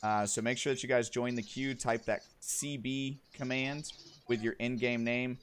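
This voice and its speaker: A man hosts a gaming livestream, upbeat and directive as he calls players in. His voice sits at an ordinary pitch, with a faint noise under the mic. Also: fairly even intonation, normal speed. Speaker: stream host. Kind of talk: livestream hosting and interviewing.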